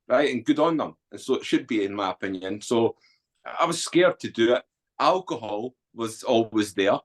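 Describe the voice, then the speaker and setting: A man in his forties from Edinburgh with a Scottish accent, a podcast guest telling his life story in a blunt, earthy way with frequent ums. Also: medium pitch. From Scotland. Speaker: guest, author and former soldier. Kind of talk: candid storytelling interview.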